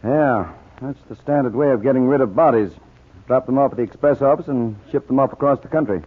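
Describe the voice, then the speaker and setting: An actor playing a brisk, authoritative American police lieutenant with clipped delivery in a 1940s radio drama. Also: male voice, mid-range voice, close to an everyday voice. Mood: businesslike. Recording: tinny 1940s radio recording.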